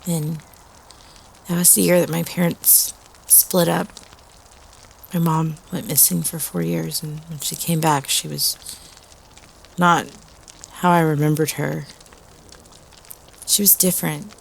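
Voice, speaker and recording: A woman acting as a student telling a ghost story at a campfire, with a husky voice and dramatic pauses. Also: low-pitched, little pitch movement, a little hiss or hum, exaggerated voice, poor audio. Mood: somber, eerie. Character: young woman student telling a spooky doll story, playful prankster. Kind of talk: audio roleplay campfire storytelling.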